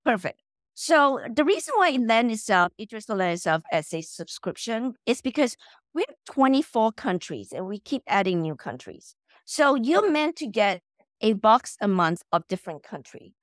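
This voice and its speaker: Woman from Malaysia, middle-aged, animated guest, dry close sound. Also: fairly high pitch, normal speed, dry recording. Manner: animated, repeats words when starting sentences. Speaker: guest, company founder. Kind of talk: casual interview chat. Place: home room, video call.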